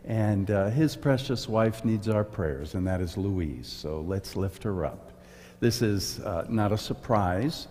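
A man in his sixties, a pastor, giving church announcements and leading a prayer in an earnest, unhurried way. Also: on the low side, a little mellow. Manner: slow, earnest pastoral delivery. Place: church sanctuary, indoors. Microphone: far camera, standing in front of a pulpit. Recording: reverberant church hall.

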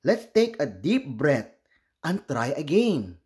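A man voicing an encouraging, patient teacher of small children in a storybook, gentle and coaxing, with emphatic praise. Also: fairly high pitch, very animated, slow. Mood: reassuring.

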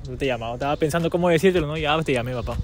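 Fast-talking young man pleading. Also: a little high. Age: young adult. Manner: pleading, insistent. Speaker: prank caller. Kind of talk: phone prank call. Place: outdoors on a city park lawn. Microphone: handheld phone near the mouth.

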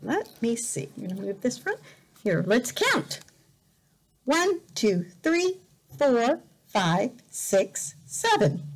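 Very slow, expressive woman reading to children. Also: on the high side. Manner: deliberate, counting out and repeating words, expressive. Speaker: volunteer English teacher reading a picture book. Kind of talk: slow read-aloud lesson for young learners. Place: indoor room, at a desk.

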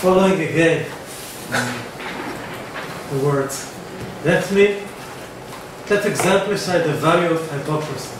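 A man makes a deliberate argumentative point in a seminar. His voice is slightly high and distant from the mic. The room around him is strongly echoing. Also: background noise. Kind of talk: discussion comment.

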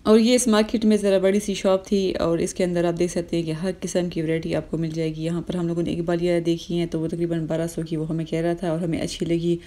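A woman's voice: fairly low pitch, flat delivery, fast, hardly any pauses, mellow. A woman in her thirties, a vlogger narrating a casual shopping trip through bazaar shops, chatty and explanatory, with many fillers. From Pakistan.

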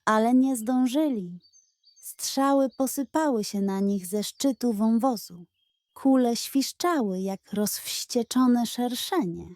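A woman narrating a children's audio story in a dramatic, measured storytelling manner. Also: a little high, a little mellow, dry recording, studio quality.

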